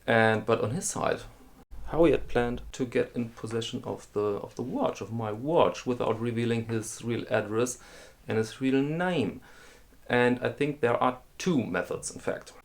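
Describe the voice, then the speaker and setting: A man with a German accent, hosting a talk video and explaining a scam in a halting way, with many restarts and ums. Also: mid-range voice, creaky. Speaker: host. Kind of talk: casual explainer monologue.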